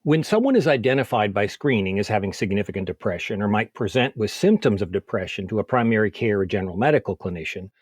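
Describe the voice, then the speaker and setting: Low-voiced man explaining methodically, dry sound. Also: a little mellow, slightly rough, dry recording. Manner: measured, methodical, structured explanations. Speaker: expert guest, psychiatrist and researcher. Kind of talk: explanatory interview answers.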